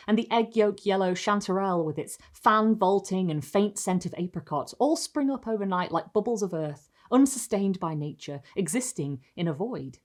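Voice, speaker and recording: Lady reading gothic lit like an audiobook, slightly low voice, measured n expressive, lingers on the phrases. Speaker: narrator reading a short story. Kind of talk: literary audiobook-style narration of gothic fiction.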